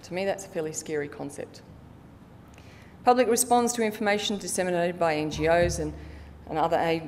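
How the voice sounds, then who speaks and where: Low-voiced woman in a reverberant hall. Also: a little mellow. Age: middle-aged. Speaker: conference presenter, researcher. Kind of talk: prepared formal conference presentation. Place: conference hall stage, indoors. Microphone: lectern microphone. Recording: reverberant hall, audible low background rumble.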